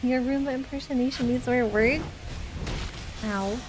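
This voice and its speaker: A woman's voice: sits high, measured pace, low-quality recording. A woman doing casual live game commentary, chatting with viewers in a playful, mock-complaining manner with short exclamations. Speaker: game streamer. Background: game music.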